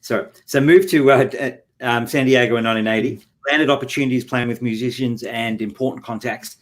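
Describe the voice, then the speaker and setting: A man hosting an interview, asking halting questions and correcting himself often. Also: mid-range voice, steady tone. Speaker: radio show host.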